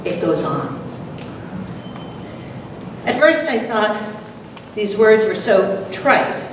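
A mature woman giving a prepared talk and dramatic poetry recital with deliberate, theatrical delivery. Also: medium pitch, sing-song. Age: middle-aged or older. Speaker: presenter, dramatic reader. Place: lecture hall, at a podium. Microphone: far camera. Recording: echoey noisy hall from far off.

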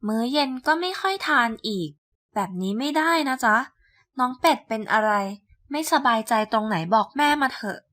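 Bright, coaxing mother duck, played by a woman. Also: high voice, animated, normal speed, dry recording, studio quality. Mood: concerned. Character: caring, gently firm mother duck. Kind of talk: children's storybook character voice.

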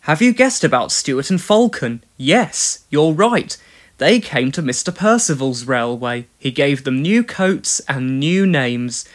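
A man reading a scripted children's story aloud as narrator, with expressive delivery. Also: on the high side, slow.

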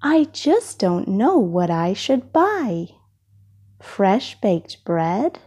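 Very slow, mellow woman reading a storybook. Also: mid-range voice, slightly rough. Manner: expressive, deliberate phrasing for young listeners. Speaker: storybook reader. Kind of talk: children's storybook read-aloud.

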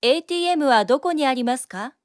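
A woman reading short phrase prompts for a language course in a neat, brisk way. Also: sits high, lively intonation, no room sound, clean studio sound. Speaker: Japanese prompt narrator.

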